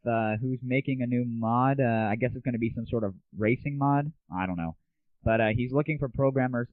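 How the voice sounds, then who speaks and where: A man's voice: mid-range voice, no room sound. A man hosting an online gaming news show, talking casually in a slangy, opinionated and irreverent way. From United States. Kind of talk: casual solo news commentary. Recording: muffled phone-like lo-fi audio.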